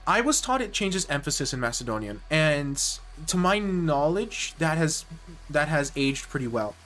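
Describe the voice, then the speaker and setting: A man's voice: on the high side, sing-song, moderate pace, fairly bright tone. A man streaming live and chatting casually with viewers, rambling and correcting himself mid-sentence with nerdy enthusiasm. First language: English.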